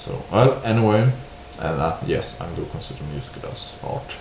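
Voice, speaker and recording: A man from Sweden with a Swedish accent, giving an unscripted opinion monologue in halting English, hesitant and searching for words. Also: deep voice, animated, vocal fry. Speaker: video creator giving opinions. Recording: roomy, dull lo-fi sound with hiss.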